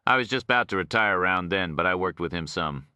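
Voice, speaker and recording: A man's voice: low-pitched, animated, quick pace, sharp tone, smooth, no room sound, full character voice. A man voicing a retired Texan prison officer in an audiobook, an older man with a folksy, rambling drawl. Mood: folksy, helpful. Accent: Texas.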